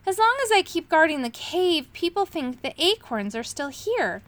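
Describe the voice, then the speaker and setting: A woman doing a squeaky little dragon. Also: big pitch swings, normal speed, fairly bright tone, dry recording, full character voice. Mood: sad. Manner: squeaky and indignant. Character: small squeaky boastful male dragon, touchy.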